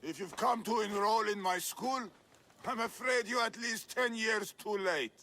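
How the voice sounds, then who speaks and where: Pompous trainer in a game, really high bright voice, slow grand delivery, scoffing. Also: male voice, a little hiss or hum. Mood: boastful. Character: boastful, haughty, hot-tempered Olympic trainer. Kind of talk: theatrical video game voice acting.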